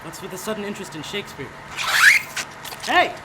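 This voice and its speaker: Casting: male performer for a fretful, imperious stage actor in radio theatre; high voice over heavy vehicle noise. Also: sing-song, fairly quick. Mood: impatient. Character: anxious, demanding stage actor riding as a passenger. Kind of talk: live radio theatre acting. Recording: very noisy live radio play.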